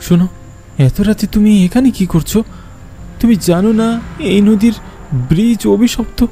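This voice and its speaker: A man playing an earnest, lovelorn young man, remorseful and yearning, in a dramatic animated horror story. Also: sits high, normal speed, faint music behind. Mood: concerned.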